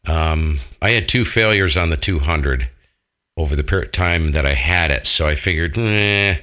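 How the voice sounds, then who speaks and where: An American man with a very deep voice and a bright, forceful edge. He talks like a ham radio operator in casual conversation, blunt, jokey and full of colloquial turns. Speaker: amateur radio operator, channel host.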